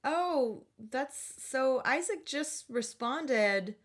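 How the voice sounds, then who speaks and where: A woman's voice: sits high, expressive, very slow. A woman podcast host in casual, chatty conversation with friends. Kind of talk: casual chat about horror films.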